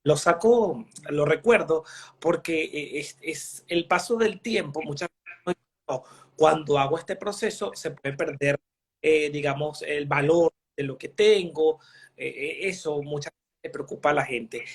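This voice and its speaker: A journalist from Venezuela asking an expert long, winding questions with many hesitations. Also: male voice, on the high side, measured pace, husky. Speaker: journalist interviewer. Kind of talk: interview questions.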